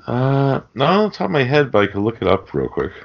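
A man's voice: medium pitch, big pitch swings, brisk, creaky. A man co-hosting a casual film podcast, swearing freely as he enthusiastically explains things. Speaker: podcast co-host. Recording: dull, lo-fi podcast audio.

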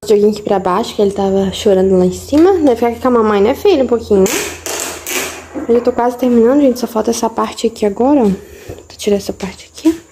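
A woman's voice: fairly high pitch, fast talker, mellow, slight background noise. A young woman chatting casually to camera as a home vlogger, often tagging on little questions to her viewers. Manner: chatty, ends phrases with tag questions to viewers. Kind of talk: casual vlog chat to camera. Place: house interior, garage and kitchen. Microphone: handheld camera at arm's length.

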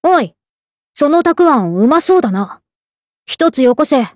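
A cheeky spirit lady from a game, high-pitched and swooping. She speaks in blunt, tomboyish remarks, close and dry. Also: sing-song, measured pace, no room sound. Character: blunt, cheeky, otherworldly female companion spirit. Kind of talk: game character voice lines.

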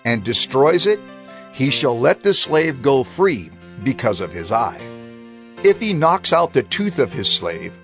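A man reading scripture aloud in a dramatized, solemn and measured way, slowing down for emphasis. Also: mid-range voice, fairly bright tone. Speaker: scripture reader.

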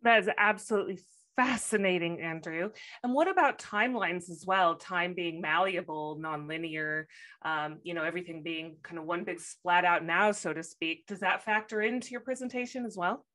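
A woman interviewer with a fairly low voice throws out eager, loose follow-up questions. She speaks into a studio microphone from her home setup, wearing a headset. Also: moderate pace, fairly bright tone. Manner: enthusiastic, breezy questions. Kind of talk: video-call interview.

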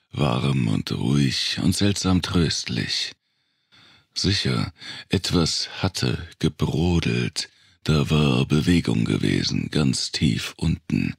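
A man narrates dark fiction in an extremely low voice, thick with vocal fry and husky at the edges. His delivery is deliberate, with dramatic phrasing suited to a thriller audiobook, and the sound is close and dry. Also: dry recording. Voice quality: very deep, gravelly, husky.

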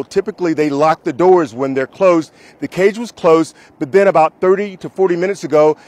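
A man's voice: a little high, a little mellow. A man in his thirties, a field reporter speaking into a handheld mic outdoors, delivering the news earnestly. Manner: earnest, emphatic live delivery. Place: outdoors in a mall parking lot.

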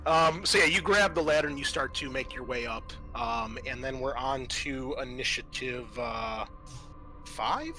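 A man's voice: mid-range voice, unhurried, sharp tone, soft background music, rough recording. A man narrating a tabletop roleplaying game as game master, describing scenes in an informal, improvised, hesitant way.

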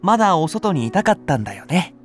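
A soothing young teacher. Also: male voice, on the high side, big pitch swings, fast, soft background music. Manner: soft, patient and soothing. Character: gentle, kind young male nursery teacher. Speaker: voice actor. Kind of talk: anime voice drama acting.

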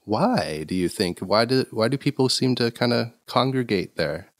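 A man's voice: medium pitch, animated, normal speed, dry recording, studio quality. A man hosting a music interview podcast, asking casual questions and laughing lightly. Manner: friendly, laughs between questions. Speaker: podcast host.

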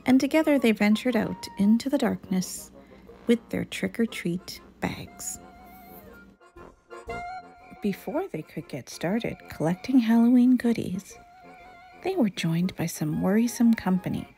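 A woman reading a children's story aloud in an expressive, deliberate, dramatic way. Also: mid-range voice, creaky, faint music behind.

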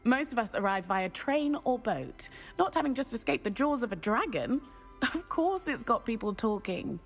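A woman's voice: on the high side, big pitch swings, moderate pace. Breezy, daring British witch girl, lilting. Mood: chatty, intrigued. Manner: playful, chatty, airy. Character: breezy, thrill-seeking teenage witch girl, chatty and daring. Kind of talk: video game character dialogue. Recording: music behind the voice.

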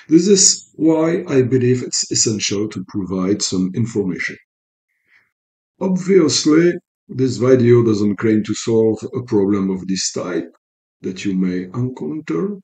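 A middle-aged man with a French accent explains a clinical topic in a careful, measured way, as if lecturing over slides. His pitch rises and falls a great deal, and his voice has a gravelly edge. He sounds like he is speaking into a desk webcam at home. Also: a little mellow, low-quality recording. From Belgium. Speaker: psychologist and sex therapist.

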